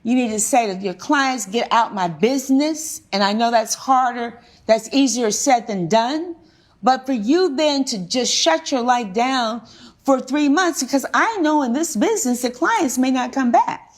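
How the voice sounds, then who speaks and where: Lady tv judge, highish voice, stern, lecturing people from the bench, very emphatic. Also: measured pace. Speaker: TV courtroom judge. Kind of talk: courtroom questioning and ruling.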